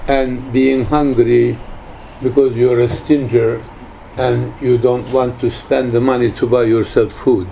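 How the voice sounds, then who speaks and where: A very old man in his nineties gives an informal spiritual talk in a soft-edged, mellow voice. He speaks very slowly and haltingly, repeating phrases for emphasis. The recording is slightly muffled and lo-fi, with faint noise behind him. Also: medium pitch, little pitch movement, gravelly. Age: 90s.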